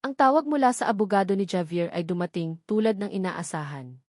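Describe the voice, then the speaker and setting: Female Filipino storyteller for dramatic audiobook narration, mid-pitched, measured delivery, dry recording. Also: animated, a little mellow, no room sound.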